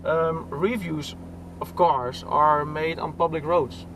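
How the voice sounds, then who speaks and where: A man's voice: on the high side, steady tone, slow pace, noisy background. A young man from Poland chatting casually with a passenger while driving, laughing often and restarting his sentences, recorded on a dash camera inside a car. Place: inside a car in city traffic. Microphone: dash-mounted camera.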